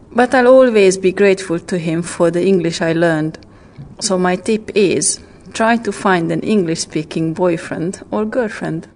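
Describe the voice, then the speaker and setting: A woman from Hungary with a fairly low voice shares a personal story. She speaks warmly and tells it as her own experience. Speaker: learner giving a tip. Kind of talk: scripted textbook audio.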